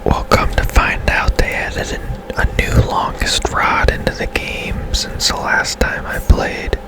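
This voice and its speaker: Slow, whispering man doing gaming ASMR. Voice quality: soft unvoiced whisper. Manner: unhurried, casual, rambling. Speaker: game streamer. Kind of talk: ASMR gameplay commentary.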